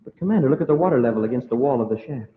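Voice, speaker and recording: An actor playing an alert young space cadet in a 1950s radio adventure, with an American accent. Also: male voice, mid-range voice, lively intonation, racing, natural voice. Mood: alarmed. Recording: echoing, tinny old radio recording.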